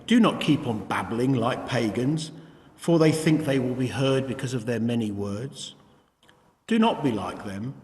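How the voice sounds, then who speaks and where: Man doing a bible reading, slow and measured, big echoey reverb. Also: mid-range voice, a little mellow. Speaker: scripture reader. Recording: echoey room with long reverb.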